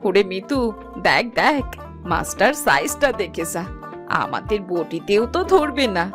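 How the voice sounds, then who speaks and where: Woman acting a fussing village family woman, mid-pitched. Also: big pitch swings, a little slow. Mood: fussing, caring. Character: fussing, scolding village women of a family, wife and mother-in-law. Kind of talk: dubbed cartoon family story dialogue. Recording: music behind the voice.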